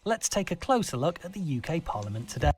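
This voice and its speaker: Lively narration over music. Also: mid-range voice, fast talker. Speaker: narrator of an educational video. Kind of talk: scripted explainer narration. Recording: played from a video, with music behind.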